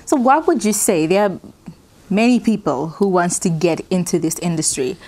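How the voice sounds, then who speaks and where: A woman's voice: lowish voice, expressive, normal speed, a little mellow. A woman with a South African accent, interviewing a guest with brief questions and backchannels.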